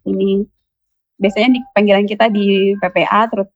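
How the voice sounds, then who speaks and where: A woman with a Kupang accent speaks slowly as a radio guest, explaining her mentoring work with many pauses and hesitations. The sound is dull and lo-fi and dry, from a radio studio. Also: medium pitch, little pitch movement, no echo. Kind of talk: radio talk show guest.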